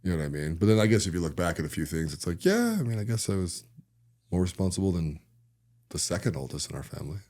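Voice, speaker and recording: A man's voice: deep voice, expressive, quick pace, darkish tone. A man hosting a podcast, asking questions in a laid-back, joking way. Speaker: podcast host. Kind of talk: casual family interview.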